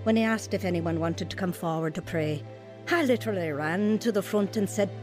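Actress as a heartfelt young wife over music. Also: female voice, fairly low pitch, brisk, darkish tone, natural voice. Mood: reflective. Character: troubled, heartfelt young wife recounting her life. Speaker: actor narrating a radio drama. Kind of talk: scripted first-person dramatized testimony.

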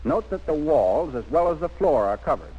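A man's voice: on the high side, sing-song, normal speed, breathy edge, highs cut off, soft background music. A man narrating a 1940s military instructional film in an emphatic, instructive scripted manner, on an old film soundtrack.

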